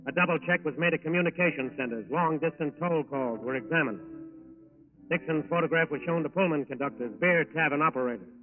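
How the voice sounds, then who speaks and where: A man's voice: fairly high pitch, fairly quick. A man delivering formal, dramatic scripted narration for a 1940s radio crime show. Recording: muffled old radio broadcast recording.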